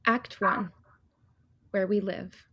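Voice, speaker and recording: A woman as a slow, husky, wistful storyteller. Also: medium pitch, fairly even intonation, highs cut off. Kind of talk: slow theatrical poetic monologue.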